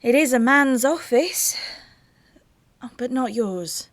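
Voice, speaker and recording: A woman playing a proud, sharp-witted young noblewoman in a Shakespearean radio play, halting and emotional, guardedly in love. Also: high voice, expressive, dry recording, heavily acted. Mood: wounded. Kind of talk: Shakespearean radio drama acting.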